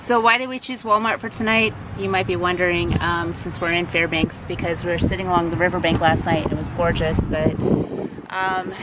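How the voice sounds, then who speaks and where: A woman in her thirties with a light, soft voice, chatting casually into a handheld camera as she vlogs from a van and a parking lot. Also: on the low side. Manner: chatty, laughing, tour-guide asides. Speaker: vlogger. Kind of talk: casual travel vlog. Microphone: handheld camera mic. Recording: loud outdoor noise.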